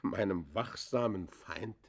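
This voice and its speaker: An actor playing an embittered outcast poacher in a German radio play, confessing in formal, declamatory phrases with dramatic swells and hushed falls. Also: male voice, on the low side, takes it slowly, creaky, exaggerated voice. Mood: desperate. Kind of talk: German radio play acting, literary monologue.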